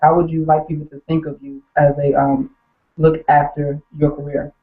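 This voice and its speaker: A man interviewing an athlete, polite and a little halting, with many fillers. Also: a little high, flat delivery, background noise. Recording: tinny phone line.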